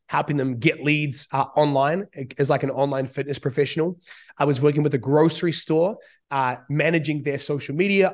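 A man in his thirties with a New Zealand accent, slightly sick and husky, talking casually to a live online audience. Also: a little high. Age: 30s. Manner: relaxed, chatty, many fillers. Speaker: guest speaker.

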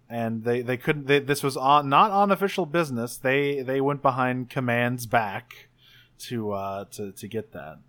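A man's voice: mid-range voice, fairly bright tone. A man chatting casually and playfully as he improvises a story in a tabletop role-playing game. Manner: playful, joking. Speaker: tabletop game player and podcaster.

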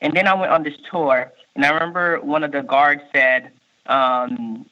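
A man in his thirties, born in Haiti and raised in Miami, with a Miami accent, answering interview questions in a halting way, full of fillers and restarts. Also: fairly high pitch, fairly even intonation, sharp tone. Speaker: state workforce official, guest. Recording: muffled lo-fi recording.

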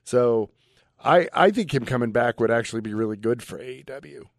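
A man's voice: mid-range voice, expressive, fairly quick, dry recording, studio quality. A man co-hosting a radio show, giving his opinions in casual sports talk. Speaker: radio co-host.

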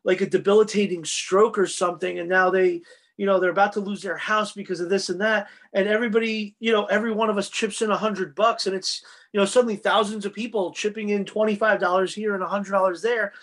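A man co-hosting a podcast, talking casually about screen entertainment in a rambling, halting way that often circles back on itself. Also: high voice, fairly even intonation, a bit bright. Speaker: podcast co-host. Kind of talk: casual panel chat about TV and film.

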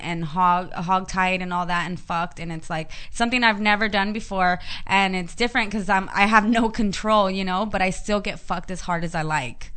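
A woman's voice: mid-range voice, sharp tone, clean tone. A woman from Mexico with a Spanish accent, chatting frankly as an interview guest and often giggling. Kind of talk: casual interview chat.

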